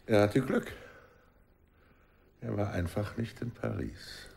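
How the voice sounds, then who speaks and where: An actor playing a talkative, jovial gentleman of rank in a German radio drama. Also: lowish voice, slow pace, vocal fry, slight background noise, full character voice. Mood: restrained, curious.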